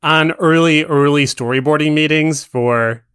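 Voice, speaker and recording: A man's voice: fairly high pitch, measured pace, a bit bright, clean tone, no room sound, clean studio sound. A man chatting casually as he gives film commentary on a podcast.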